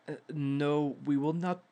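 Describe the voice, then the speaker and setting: A man playing a suave, smug reformed villain who lectures as a relationship expert in a theatrical, measured, drawn-out way. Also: a little high, dark tone. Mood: evasive, dry. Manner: theatrical, measured, drawn-out phrasing with sly chuckles. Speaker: speaker leading a seminar session. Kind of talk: scripted in-character audio roleplay lecture.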